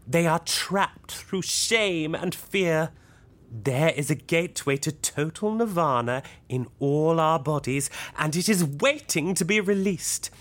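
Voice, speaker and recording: Low-voiced, grandiose female cult leader. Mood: grand preaching. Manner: pompous, theatrical, emphatic. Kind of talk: audio sitcom acting.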